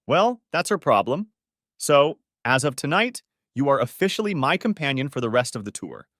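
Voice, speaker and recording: A man's voice: mid-range voice, sing-song, normal speed, fairly bright tone, dry recording, studio quality, voice pushed up. A man voicing a friendly, forward divorced woman of forty-five in a narrated story. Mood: playful, assertive.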